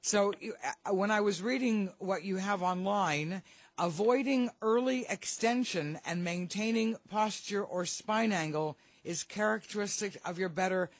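A woman hosting a radio golf show, chatty and quick to laugh, with a cold. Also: medium pitch, dry recording. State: has a cold. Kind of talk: casual radio interview.

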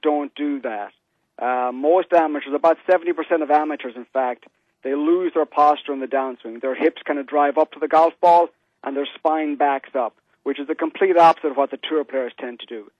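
A man's voice: fairly high pitch, no room sound. Man on a muffled phone line. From Ireland. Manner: relaxed, explanatory, says "actually" often. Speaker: golf fitness company founder, guest. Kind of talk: interview by phone.

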